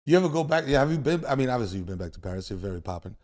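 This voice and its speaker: A man's voice: mid-range voice, sing-song, rapid-fire, darkish tone. A man hosting a podcast, asking questions in loose, slangy banter with a habit of checking in with his guest. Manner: loose slangy banter, keeps saying "you know what I'm saying". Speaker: podcast host. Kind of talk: casual interview chat. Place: podcast studio.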